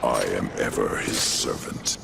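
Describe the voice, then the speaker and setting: Imperious undead judge for a fantasy game: slow, very gravelly, solemn and scornful. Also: male voice, steady tone, music in the background, full character voice. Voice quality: very deep, heavily gravelled. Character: stern, haughty undead male lord judging souls. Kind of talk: video game cutscene acting.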